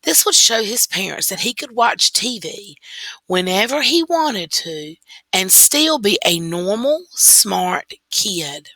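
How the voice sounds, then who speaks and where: An earnest schoolboy from a storybook, voiced by a woman reader. The voice is bright and a little creaky, with emphatic, pleading delivery. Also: mid-range voice, very animated, slow, no room sound, heavily acted, low-quality recording. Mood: pleased. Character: earnest young schoolboy who loves television.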